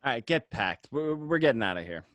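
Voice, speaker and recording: A man plays a hustling gangster in a radio drama. The delivery swings widely in pitch, stammering and insistent, always quick to reassure. The sound is dry and close, with a medium-pitched voice. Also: very animated, fairly bright tone, dry recording. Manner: stammering, insistent, repeats words. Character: defensive, hustling young male gangster, eager to reassure. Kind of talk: amateur radio drama table read.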